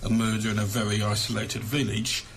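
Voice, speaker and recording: A man's voice: lowish voice, level tone, fairly quick, a bit bright, a little hiss or hum. A man being interviewed as an author, chatting conversationally with self-deprecating humour.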